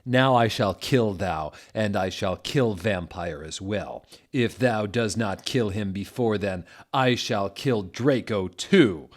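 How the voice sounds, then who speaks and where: Casting: male reader as evil dark lord in a comic story reading. Raspy, commanding, slow archaic threats. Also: lowish voice. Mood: menacing.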